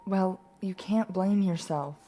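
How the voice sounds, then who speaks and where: A woman playing a caring, eager young adult pony daughter in an audio story, earnest and gentle. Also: medium pitch, slow, soft background music. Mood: concerned. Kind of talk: character acting in an audio story.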